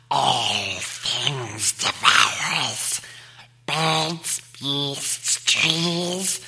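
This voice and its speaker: A man voicing a sneaky, hungry cave creature from a storybook, with a strained hiss and drawn-out, wheedling speech. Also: medium pitch, bright, forceful tone, full character voice. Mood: sinister riddling. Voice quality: hissing, strained. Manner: drawn-out, wheedling, talks to himself. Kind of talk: storybook character voice.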